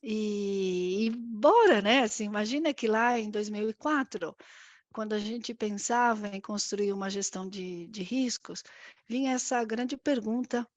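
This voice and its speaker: A woman in her fifties with an Argentine accent and Spanish as her native language, giving a conversational, reflective interview answer as a guest, often pausing to search for words. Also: fairly high pitch, dry recording, muffled recording. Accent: Argentine accent in Brazilian Portuguese. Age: 50s. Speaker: interview guest, risk management executive.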